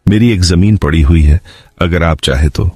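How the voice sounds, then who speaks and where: A man playing a scheming landlord in a cartoon moral story, speaking with measured, deferential persuasion. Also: low voice, dark tone, dry recording, soft background music. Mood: sly, deferential. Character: scheming adult male landlord, deferential yet grasping.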